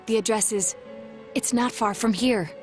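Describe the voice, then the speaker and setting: A woman playing a calm, analytical young detective in a video game, speaking in a formal, measured and precise way. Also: a little high, natural voice. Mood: focused. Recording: music under the dialogue.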